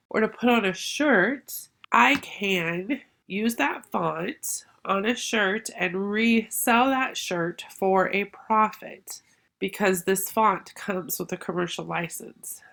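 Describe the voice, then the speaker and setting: A middle-aged woman walks viewers through a crafting program, one patient step at a time. Her voice is gravelly and pitched in a plain middle range, with a stuffy, congested sound from a sinus infection. She talks in a warm, chatty teaching manner. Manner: friendly step-by-step explaining. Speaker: craft tutorial host. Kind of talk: screen-share software tutorial.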